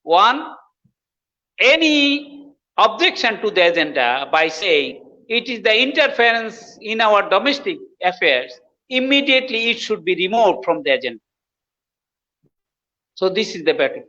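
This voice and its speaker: A man's voice: high-pitched, very animated, a little slow, pauses often, pushed and bright. A man from Bangladesh, explaining his views as a guest professor and repeating phrases for emphasis. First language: Bengali. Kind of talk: explanatory interview talk. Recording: dull lo-fi audio with long reverb.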